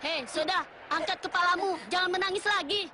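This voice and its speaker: A woman's voice: very high voice, fairly even intonation, fairly quick, bright, forceful tone, slight background noise. A woman voicing a supportive youngster who cheers on young footballers in a dubbed anime, urging and emphatic. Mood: consoling. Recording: music and crowd behind.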